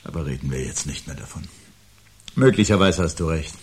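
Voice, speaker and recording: Solemn brooding husband, very low husky voice, portentous measured diction, seventies radio drama. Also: male voice, expressive, exaggerated voice. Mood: resigned, pedantic. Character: brooding husband gripped by foreboding of doom, solemn and pedantic. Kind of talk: 1970s German radio play acting.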